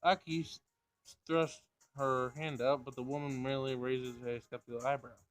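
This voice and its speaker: A man's voice: mid-range voice, little pitch movement, normal speed. A man reading visual novel narration aloud, sometimes stumbling over the text and rereading it.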